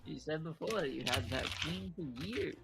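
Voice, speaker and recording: A man's voice: fairly high pitch, expressive, moderate pace, creaky, over loud music. A man reading game dialogue aloud and chatting casually as he plays with friends.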